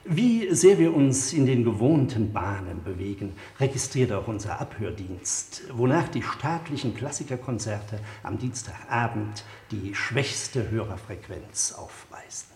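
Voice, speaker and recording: An actor playing a stiff, complacent culture minister with a formal, bureaucratic delivery in a satirical radio play. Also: male voice, medium pitch, expressive, a little slow, few pauses, a little mellow, roomy sound, slight background noise.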